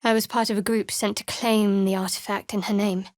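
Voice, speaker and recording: A woman playing a guarded, sardonic young cleric of a dark goddess in a video game, with a dry, wry, measured delivery. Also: medium pitch, little pitch movement, no echo, natural voice. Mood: guarded, composed. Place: studio.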